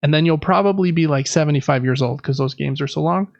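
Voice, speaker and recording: A man talks casually as a podcast co-host, rambling and enthusiastic. His voice sits a little high with plenty of vocal fry. The sound is dry and close, slightly dull. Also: quick pace, no room sound. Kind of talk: casual gaming podcast chat.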